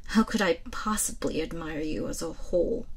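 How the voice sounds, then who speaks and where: A woman playing a proud, sardonic captive royal in a roleplay audio drama, breathy and theatrical, with a taunting manner. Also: deep voice, full character voice. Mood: defiant. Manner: haughty, taunting, theatrical, deliberate.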